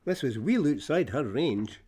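Animated Scottish storyteller reading verse. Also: male voice, mid-range voice, unhurried, slightly rough. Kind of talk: expressive reading of rhyming fables in Scots.

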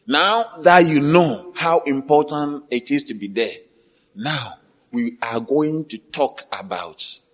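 A man preaching an animated sermon, quizzing the congregation and breaking into shouts and laughter. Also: on the high side, slow. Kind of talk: lively church sermon. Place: church. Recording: reverberant church hall with congregation.

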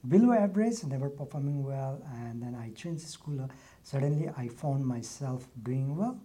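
Soft-spoken man, husky. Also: mid-range voice, expressive, moderate pace. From Nepal. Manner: soft-spoken, hesitant with restarts. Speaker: guest, university lecturer. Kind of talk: casual interview answers.

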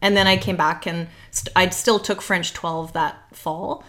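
A woman recounts her memories in a thoughtful, unhurried way. Her voice sits a little low for a woman, and she fills her story with detail. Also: fairly even intonation, a bit bright, slight background noise. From Canada. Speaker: guest. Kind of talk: casual conversation, personal storytelling.

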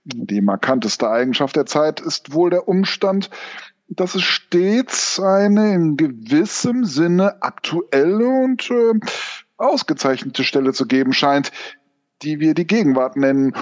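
Man acting a scholarly giraffe on fire, higher-pitched, hesitant lecturer, surreal radio play, slightly muffled lo-fi sound. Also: very animated, measured pace. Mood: lecturing. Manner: hesitant, pedantic, professorial. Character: burning giraffe, pedantic scholarly lecturer, unhurried and philosophical. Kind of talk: surreal audio drama acting.

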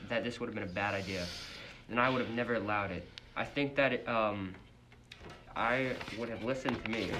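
A man playing a sullen, bereaved father who is quick to snap and mixes slang with archaic insults. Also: on the low side, bright, forceful tone, slight background noise.

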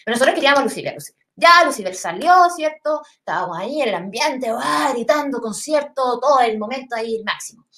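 A Chilean woman in her thirties tells a comic personal anecdote in a casual, rambling way. Her speech is full of slang and swearing, and her voice sits a little high for a woman. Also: expressive, fast talker, hardly any pauses, a bit bright. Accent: Chilean Spanish. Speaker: storyteller.

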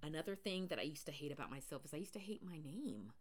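Deep low voice woman from the pacific northwest, chatty solo podcast, lots of ums and restarts. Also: sing-song, fast talker. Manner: rambling, many fillers and restarts. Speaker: podcast host.

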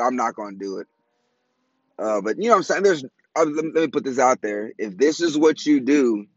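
Man, 30s, raspy cracky voice, slangy rambling podcast host, dry room, muffled lo-fi sound. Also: no echo. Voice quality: high-pitched, raspy, cracky voice. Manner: slangy, rambling, laughs at own jokes. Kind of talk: casual solo podcast monologue.

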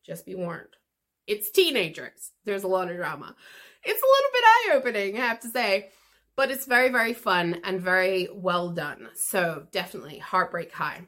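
A woman hosting an English-learning podcast, giving chatty advice straight to the listener in a lively, expressive way. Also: medium pitch, moderate pace. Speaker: English teacher and podcast host.

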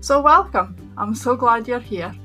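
A woman's voice: a little high, lively intonation, measured pace, loud music behind the voice. Upbeat woman hosting a podcast. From Shetland. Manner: upbeat and welcoming, laughs often. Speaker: podcast host. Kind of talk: friendly podcast interview.

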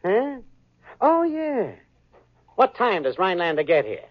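An actor playing a cocky, smooth-talking young schemer in a 1940s radio sitcom, breezy and full of slang. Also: male voice, high-pitched, wildly swooping pitch, measured pace, exaggerated voice. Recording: lo-fi old radio broadcast with audience laughter and hiss.

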